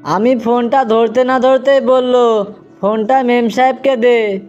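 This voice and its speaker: Excitable young cartoon lad acted by a man, exaggerated delivery, high voice, lo-fi sound over music. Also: a little slow. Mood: panicked pleading. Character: excitable young cartoon character in comic skits. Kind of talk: scripted cartoon comedy. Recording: dull lo-fi audio with music.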